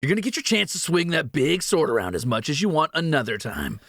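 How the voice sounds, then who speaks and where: A man's voice: on the high side, sing-song, fast, fairly bright tone, dry recording, clean studio sound, heavily acted. A man playing a cocky, greedy thief in a fantasy audio roleplay, teasing and sarcastic with swaggering banter. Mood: smug, sarcastic.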